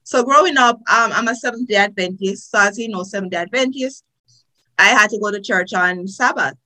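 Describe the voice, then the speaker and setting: A woman's voice: mid-range voice, fairly quick, sharp tone, rough recording. A woman with a Jamaican accent, a lawyer guest on a podcast, telling stories in a chatty way with many fillers. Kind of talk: podcast interview.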